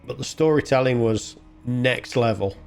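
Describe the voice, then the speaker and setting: A man's voice: mid-range voice, normal speed, gravelly, smooth. Chatty man commentating over game music. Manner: chatty, thinking aloud. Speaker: gaming video host. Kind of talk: casual game play commentary.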